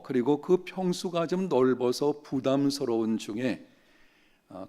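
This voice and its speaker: A middle-aged male pastor preaching in a church, with a voice of ordinary pitch for a man. He tells stories in an easy way and slows down on the phrases he wants to stress. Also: darkish tone. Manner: storytelling, slowing down to stress key phrases. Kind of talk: sermon. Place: church sanctuary.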